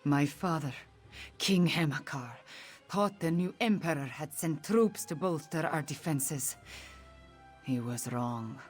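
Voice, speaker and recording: A solemn, wounded princess in exile from a fantasy video game. The voice is low and husky, and every line comes slowly and formally. Music plays softly behind the grave delivery. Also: female voice. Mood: wounded, proud. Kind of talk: video game character acting.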